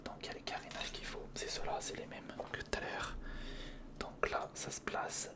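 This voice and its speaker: A person whispering informal ASMR commentary in short step-by-step remarks while building, speaking directly to the viewer. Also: moderate pace. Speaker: ASMR video creator and host.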